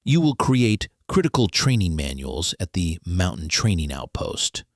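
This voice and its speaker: Man acting a deep, husky, stern government official. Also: big pitch swings, a little slow, no echo, studio quality, full character voice. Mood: stern, formal. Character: grave male government official issuing stern orders. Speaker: actor in an audio mystery drama. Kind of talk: formal dramatised speech.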